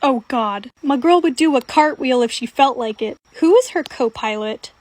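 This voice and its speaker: High-pitched, playful young woman, dry sound. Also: moderate pace, darkish tone, no room sound. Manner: giggly, playful, slangy. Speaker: video creator. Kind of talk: casual improvised commentary over fan videos.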